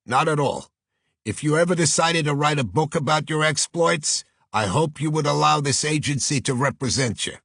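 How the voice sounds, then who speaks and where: A man's voice: fairly high pitch, normal speed, gravelly, no echo, clean studio sound, voice pushed up. A man voicing an earnest, effusive New York talent agent with a Brooklyn accent in an audiobook. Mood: eager, flattering. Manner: effusive, flattering, salesman-like. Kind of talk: audiobook character voice.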